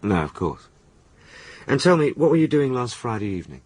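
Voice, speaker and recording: An actor playing a polite, plain-spoken British police sergeant in a radio drama, putting direct, deferential questions. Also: male voice, medium pitch, sing-song, a little fast, darkish tone, husky. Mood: politely probing.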